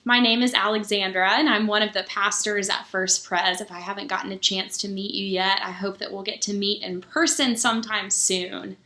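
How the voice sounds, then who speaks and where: A woman pastor reading a children's book aloud for story time, pausing to explain things simply to young listeners. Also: medium pitch, fairly even intonation, bright, forceful tone. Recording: roomy, echoing sound.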